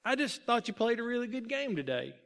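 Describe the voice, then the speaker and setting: A curious, blunt boy ballplayer from a storybook, played by a man. He talks casually and questioningly in a high-pitched voice. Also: big pitch swings, brisk, creaky, a bit bright, full character voice. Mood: friendly. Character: blunt, curious boy shortstop, teammate and friend.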